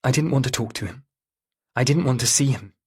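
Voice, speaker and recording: A man voicing a distressed sixteen-year-old girl answering police questions in a halting, defensive way in an audiobook. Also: mid-range voice, husky. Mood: upset, defensive.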